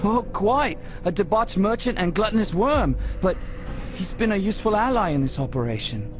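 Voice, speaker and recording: An actor playing a smug, evasive Templar sergeant in a video game, with a mocking, sneering manner. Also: male voice, sits high, animated, normal speed, over music. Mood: scornful.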